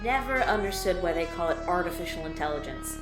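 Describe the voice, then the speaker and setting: A woman's voice: on the low side, lively intonation, fast, echoey room, loud music behind the voice, natural voice. A woman playing a frazzled sarcastic alien clerk. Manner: exasperated, sarcastic. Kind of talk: audio drama.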